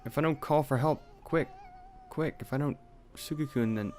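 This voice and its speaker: A man's voice: a little high, normal speed, darkish tone, soft background music. A young man hosting a let's play, reading out a horror game's dialogue with some drama and swearing in asides. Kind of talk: reading game text aloud with commentary.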